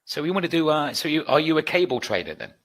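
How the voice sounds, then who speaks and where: A man's voice: on the high side, sing-song, quick pace, fairly bright tone. A British man hosting a live trading webinar, chatty and upbeat as he answers viewers' requests. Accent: British English. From United Kingdom.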